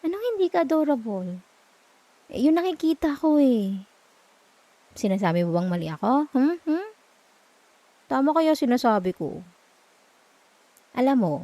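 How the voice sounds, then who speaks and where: A woman with a Filipino accent playing a sweet, teasing, comforting girlfriend in a soft, intimate ASMR roleplay. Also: a little high, big pitch swings, normal speed, long pauses, dry recording. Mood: affectionate. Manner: soft, tender, playful, soothing.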